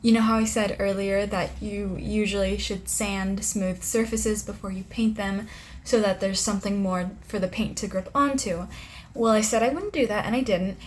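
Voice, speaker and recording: A woman's voice: medium pitch, fairly even intonation, normal speed, a little hiss or hum. Chatty young woman with a sore throat. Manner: chatty, rambling with many "like"s. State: sore throat, voice a little strained. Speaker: craft vlogger. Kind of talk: casual vlog narration.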